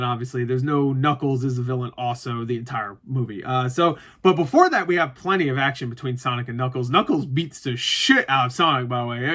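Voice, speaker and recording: A man's voice: on the high side, bright, forceful tone. A man giving a casual, unscripted review to viewers, rambling and correcting himself as he goes, with some swearing. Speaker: movie reviewer.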